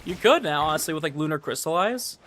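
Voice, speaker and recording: A man's voice: a little high, animated, fast, fairly bright tone, faint music behind. A man livestreaming a game, chatting casually and giving commentary in a slangy, informal way full of filler words. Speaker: livestreamer playing a gacha game.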